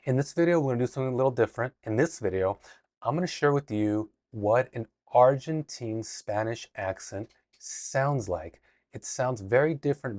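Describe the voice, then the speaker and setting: A man teaching a casual Spanish lesson in a relaxed, explanatory way, often restarting his sentences. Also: lowish voice, dry recording, clean studio sound.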